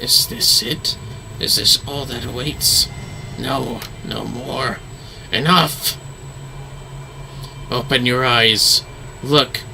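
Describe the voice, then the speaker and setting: A man's voice: medium pitch, extremely slow, creaky, long pauses, sharp tone, a little hiss or hum, full character voice, poor audio. A man voicing an impassioned fantasy game character, reading dialogue in an urgent, declamatory and commanding way. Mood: urgent, anguished.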